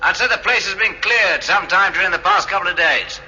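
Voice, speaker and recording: A man's voice: sits high, a little fast, sharp tone, rough recording. An actor playing an observant, matter-of-fact starship crewman reasoning things out in a radio drama. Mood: reasoning, insistent.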